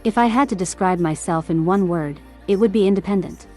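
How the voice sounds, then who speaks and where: A woman's voice: medium pitch, fairly quick, warm tone, faint music behind. A woman earnestly reading her pageant answers. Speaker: pageant contestant.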